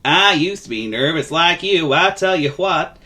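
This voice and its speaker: A man voicing a kindly, gentle vagabond in a storybook, talking in a folksy, soothing way. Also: on the high side, animated, measured pace, voice pushed up.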